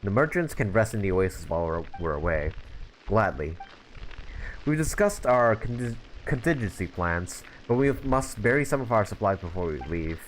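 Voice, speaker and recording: A man voicing a calm, watchful mercenary father in a video game, grave and measured. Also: lowish voice, slight background noise, poor audio. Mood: grave, cautious.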